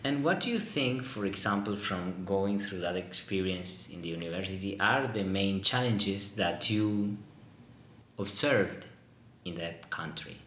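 A man's voice: low voice, lively intonation, a little slow, a bit bright, a little hiss or hum. A man hosting a podcast interview, joking and theatrical, with mock asides to himself. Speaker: podcast host, student ambassador. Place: Netherlands.

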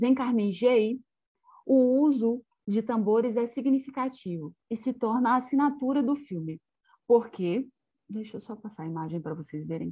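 A woman's voice: on the high side, dry recording. Brazilian-accented woman, academic speaker reading and explaining to slides; close, dry, dull call-quality recording. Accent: Brazilian Portuguese. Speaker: film researcher giving a presentation. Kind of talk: academic lecture with slides, partly read aloud.

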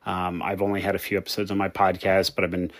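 A man's voice: low-pitched, completely flat delivery, fast talker, fairly bright tone, dry recording, studio quality. A man from the United States leaving a rambling voicemail for a podcast, full of fillers and restarts. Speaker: caller. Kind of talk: voicemail call-in message.

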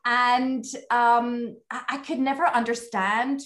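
A woman's voice: on the high side, fairly even intonation, slow, a bit bright, reverberant. A woman from Scotland with a Scottish accent, a guest reflecting thoughtfully in a casual interview, with frequent fillers and restarts.

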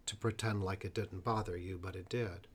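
A man's voice: low-pitched, fairly even intonation, normal speed, slight background noise. A man hosting a podcast conversation, earnest, with an occasional stutter. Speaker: podcast host.

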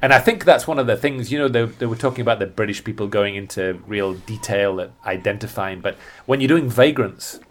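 Low-voiced man in casual podcast conversation. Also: brisk, hardly any pauses. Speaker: birding tour guide.

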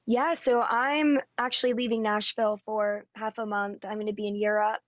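Raspy-voiced woman, chatty and upbeat. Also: mid-range voice, little pitch movement, normal speed, dry recording. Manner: upbeat, chatty, frequent ums and likes. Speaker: guest singer-songwriter. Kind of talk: casual interview chat. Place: Nashville area. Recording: dull, dry lo-fi audio.

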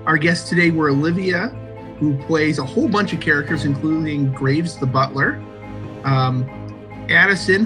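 A man's voice: medium pitch, poor audio. A man hosting a podcast, interviewing guests in a hesitant way and often repeating words. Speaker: podcast host.

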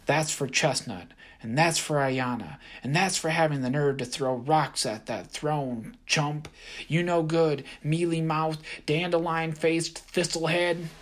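A man voicing a tiny, brash, feisty female sap doll who trash talks loudly. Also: on the high side, measured pace, pitched above the performer's own voice, natural voice. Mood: taunting. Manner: loud, boastful trash talk.

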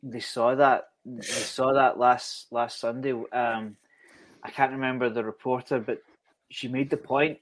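A man co-hosting a fan podcast, talking football in a halting, hesitant way. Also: medium pitch, little pitch movement. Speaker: podcast co-host. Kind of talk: casual sports chat.

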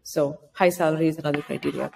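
A woman with a slightly low voice gives informal, practical explanations as an interview guest. She lays points out one by one with examples. The recording has long reverb and a faint noise behind her. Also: fairly even intonation, fast, creaky, mellow. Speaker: interviewed guest, author giving practical advice. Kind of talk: conversational explanatory interview answers. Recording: noisy, very reverberant room, clicks.

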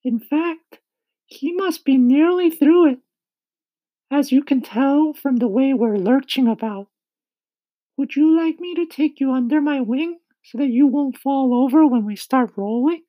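Very high-pitched, emphatic lady ladybug. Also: measured pace, dark tone, dry recording, rough recording. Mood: excited, explaining. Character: kind gentle lady ladybug, bossy and excitedly explaining. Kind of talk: children's storybook reading.

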